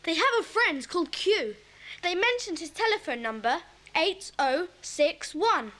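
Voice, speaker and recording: A woman playing an excitable, eager child detective in a children's audio drama. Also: very high-pitched, a little slow, heavily acted, poor audio. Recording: hissy old cassette recording.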